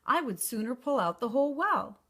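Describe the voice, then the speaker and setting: A clever little storybook girl, acted out by a woman reader, bold yet polite. Her voice is high and very lively, full of confidence. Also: brisk. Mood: cool confidence. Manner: confident, calling out boldly.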